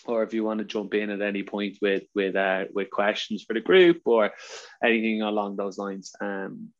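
A man's voice: on the low side, muffled recording. Chatty Irish man on a video call. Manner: fast-talking, chatty, filler-heavy. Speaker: webinar presenter. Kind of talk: casual webinar chat.

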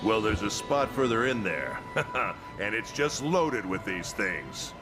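An actor playing a gruff, jovial veteran admiral in a video game cutscene, hearty and boastful with frequent chuckles. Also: male voice, lowish voice, sing-song, takes it slowly. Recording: game cutscene with loud music.

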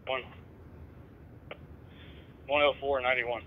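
Male dispatcher, terse and even-toned, dull scanner-radio sound. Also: medium pitch, slow pace. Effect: two-way radio. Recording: band-limited, noisy police scanner radio.

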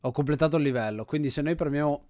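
A man's voice: on the high side, fast, dark tone, no room sound. A man hosting a gaming channel, giving casual let's play commentary, thinking aloud with enthusiasm.

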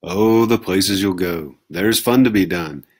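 Guy reading a kids book, low raspy voice. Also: unhurried. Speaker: volunteer reader. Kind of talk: picture-book read-aloud.

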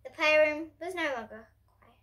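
A young girl of about ten to twelve reading a children's storybook aloud in an expressive way. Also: sits high, normal speed. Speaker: host reading picture books for kids. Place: indoor room in front of bookshelves.

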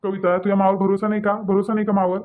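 Man acting a placating cartoon husband, high-pitched, quick speech, Vidarbha Marathi accent, phone-like sound. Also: flat delivery, close to an everyday voice. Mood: placating, defensive. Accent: Vidarbha (Varhadi) Marathi. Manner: soothing, reassuring, repeating himself. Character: placating, evasive young husband, mild-tempered. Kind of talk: Marathi cartoon story dialogue. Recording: narrow, phone-like muffled audio.

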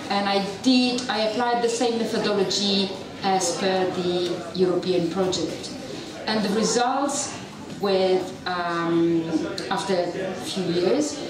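A middle-aged woman with a strong Italian accent speaks into a handheld mic during a public talk, chatty and self-deprecating. The sound is very echoey, picked up from far off in the room, with an audience murmuring in the background. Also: mid-range voice. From Italy. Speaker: university researcher giving a public talk. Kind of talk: public lecture. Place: dark event venue with audience. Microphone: handheld mic, filmed from a distance. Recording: echoey venue recorded from afar, crowd present.

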